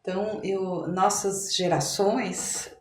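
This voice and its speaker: An older woman with a São Paulo Brazilian accent reminiscing as a podcast guest, with hesitant, halting phrasing. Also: medium pitch, fairly even intonation. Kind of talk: conversational interview, personal reminiscing. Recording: echoey room with faint noise.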